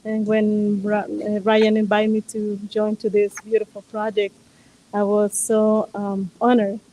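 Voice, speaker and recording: Halting woman, steady pitch. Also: muffled recording, slight background noise. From Costa Rica. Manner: hesitant, halting, with many fillers. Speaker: resort general manager. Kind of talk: interview guest. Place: outdoor resort.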